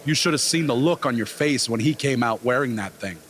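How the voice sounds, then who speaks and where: A man's voice: medium pitch, a little fast, fairly bright tone, lightly acted. A man playing a mocking, belligerent villain wrestler in a game, blunt and needling.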